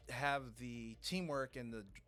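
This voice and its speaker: A man's voice: mid-range voice, a little slow, a bit bright, clean tone. A man commentating an esports match as casual banter, in a wry, joking, slangy way. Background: background music.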